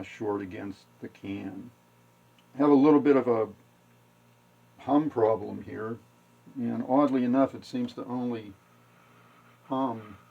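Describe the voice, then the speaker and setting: A man giving a casual hands-on technical demonstration, thinking aloud in a halting, matter-of-fact way. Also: mid-range voice, vocal fry. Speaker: radio repair hobbyist demonstrating. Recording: dull lo-fi home video audio.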